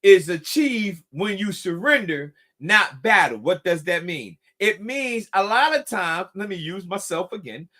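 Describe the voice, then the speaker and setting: Casting note: middle-aged male recovery group leader, preachy and repetitive, calls the room y'all, voice a touch higher than most men. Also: bright, forceful tone. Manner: preachy, repeats phrases for emphasis; addresses listeners as y'all. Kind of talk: motivational talk.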